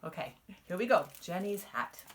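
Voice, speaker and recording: A woman reading a children's picture book aloud, expressively, with dramatic pauses and emphasis. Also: fairly low pitch, expressive, heavy background noise. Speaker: storybook reader.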